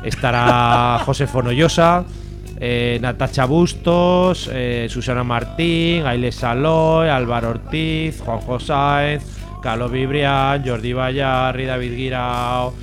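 A man's voice: mid-range voice, steady tone, slow. A man from Spain with a Spanish accent, chatting casually on the radio in a jokey, slangy, irreverent way. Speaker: comics guest and fanzine publisher. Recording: music playing behind.

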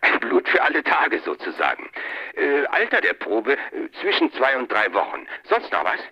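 In dull, muffled sound, a busy, flustered radio-drama contact. The husky voice swings widely in pitch and sounds hesitant and distracted. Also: male voice, wildly swooping pitch, normal speed, pushed and bright, heavily acted. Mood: brisk, businesslike. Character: flustered, busy male contact reporting lab results.

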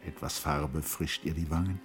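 Male narrator, low voice, scripted literary radio drama, intense storytelling, faint music underneath. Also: fairly even intonation, moderate pace.

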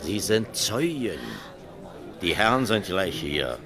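An actor playing a gruff, curious pub landlord in a radio crime play. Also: male voice, deep voice, sing-song, slow, a crowd in the background, full character voice. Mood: gruff, coaxing.

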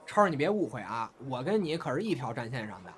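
A man's voice: mid-range voice, very animated, fast talker, lightly acted. A man playing a jealous, cheeky young brother in an audio drama, chatty and needling in manner. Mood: sincere. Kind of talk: Chinese audio drama dialogue. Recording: faint background noise and ambience.

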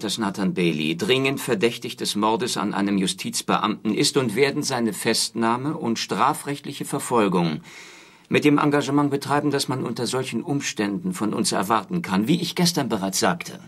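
An actor playing a stern state prosecutor in a radio drama, speaking in formal, officious, measured legal phrases. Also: male voice, mid-range voice, lively intonation, a bit bright. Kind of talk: radio drama acting as talk show guest.

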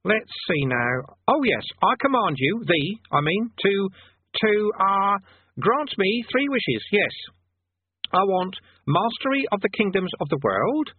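A man's voice: sits high, big pitch swings, slow pace, a little hiss or hum, voice pushed up, exaggerated voice. A man voicing a small, fussy, eager male demonologist who tries pompous old-fashioned commands and slides into pleading. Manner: pompous archaic commands, then pleading and flustered. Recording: tinny phone-like dry sound.

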